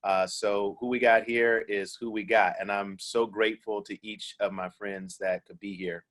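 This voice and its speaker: Earnest pastor, low voice, halting. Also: male voice, level tone, fairly bright tone. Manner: hesitant, many fillers, earnest. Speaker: pastor and moderator. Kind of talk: church panel discussion on a video call. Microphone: video call.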